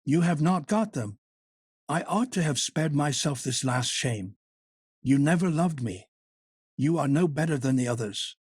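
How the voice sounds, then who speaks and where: A man's voice: fairly high pitch, lively intonation, unhurried, breathy edge, no room sound, studio quality. A man voicing a desperate, coaxing young woman in an audiobook reading, his delivery emotional and imploring. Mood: bitter.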